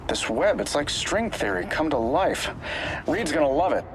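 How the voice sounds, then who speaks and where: Science-minded game hero, slightly high voice, wry, clear background noise. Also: male voice, lively intonation, normal speed. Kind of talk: video game character voice line. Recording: noisy game ambience behind.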